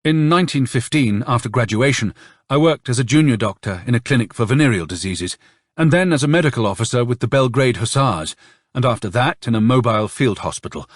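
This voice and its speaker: A man's voice: mid-range voice, lively intonation, fairly quick, no room sound, studio quality, voice pushed up, lightly acted. A man voicing a blunt, proud army doctor in an audiobook, speaking earnestly and emphatically. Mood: matter-of-fact.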